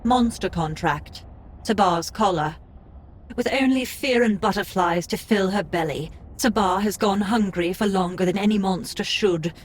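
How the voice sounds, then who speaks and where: A woman's voice: medium pitch, close to an everyday voice. A woman voicing a cool, formal game system. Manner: measured, formal announcing of notices. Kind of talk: audiobook narration of game system text.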